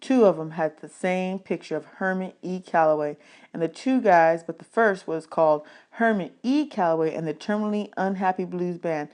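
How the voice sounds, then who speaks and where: A woman reading a children's novel aloud as an expressive audiobook narrator. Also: lowish voice, normal speed, clear, resonant tone. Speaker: audiobook reader.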